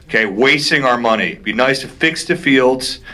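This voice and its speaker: A man's voice: mid-range voice, moderate pace, bright, forceful tone. A man speaking at public comment in a council meeting, blunt and exasperated, repeating his point. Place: township council meeting room.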